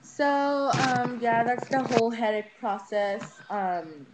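A woman's voice: fairly high pitch, expressive, slow pace. Young woman rambling casually, noisy webcam audio. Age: teenager to young adult. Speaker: vlogger. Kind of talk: casual rambling house tour. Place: rooms of a small house. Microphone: handheld webcam, moved around.

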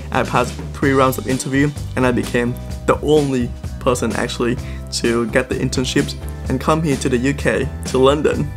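Man in his 20s from Vietnam, even level pitch, casual vlog talk, camera mic at arm's length, clear background music. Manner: chatty, animated storytelling to camera. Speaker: vlogger. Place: apartment room indoors, then city streets and a shop.

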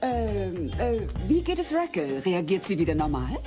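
A woman's voice: medium pitch, big pitch swings, moderate pace, over music, natural voice. An actress playing a strict, sensible mother in a German comedy radio play, dry and sarcastic. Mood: kind, dry.

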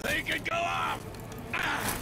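An actor playing a sadistic, taunting ex-soldier villain in a video game, with a menacing, mocking, drawn-out delivery. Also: male voice, very high-pitched, wildly swooping pitch, very noisy, heavily acted. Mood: enraged gloating. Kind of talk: video game cutscene acting.

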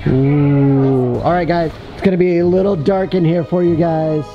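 A man's voice: a little high, fairly even intonation, normal speed, muffled recording. An American man in his thirties narrating a casual home tour to camera in a chatty, exclaiming way. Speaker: vlogger dad giving a tour. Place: inside a family house. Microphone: handheld camera. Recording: loud music under handheld home video. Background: loud music.

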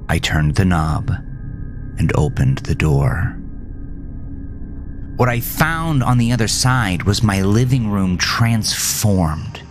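A man with a low voice narrates a first-person horror tale. He is solemn and measured, taking his time over every phrase, with music clearly playing underneath.

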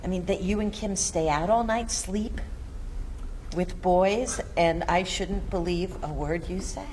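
A woman's voice: fairly low pitch, measured pace, a little mellow, natural voice. An actress reading a worried, flustered suburban mother at a table read. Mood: fretful. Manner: fretful, flustered. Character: fussy worried suburban mother, middle-aged. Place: meeting room, indoors. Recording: noisy room, table read.

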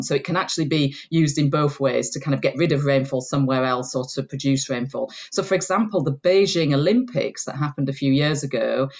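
Woman explaining over dull lo-fi call audio. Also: sits low. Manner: clear and explanatory, steady flow. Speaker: chief executive of a science society, guest expert. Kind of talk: explanatory interview answers. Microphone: earphone headset on a video call.

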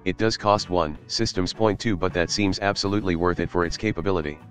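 Male reviewer, very low voice, relaxed scripted delivery with the odd curse, faint music bed. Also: a little fast. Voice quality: casual, swears for emphasis. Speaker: YouTube game reviewer. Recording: light guitar music behind.

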